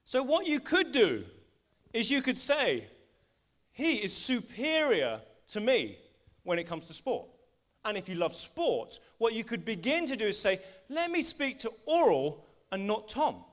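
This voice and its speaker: A man's voice: high-pitched, measured pace. A man preaching at a live church service, bringing people up from the congregation and building his points with rising emphasis. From England. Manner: animated, rising emphasis when making points. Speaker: pastor. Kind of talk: live sermon with volunteers. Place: school hall church service.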